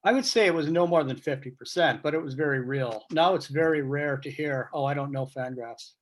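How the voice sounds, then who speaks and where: A man speaking as a guest sportswriter in an informal panel discussion over a video call, explaining things in a measured way. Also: fairly high pitch. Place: virtual meeting on video call.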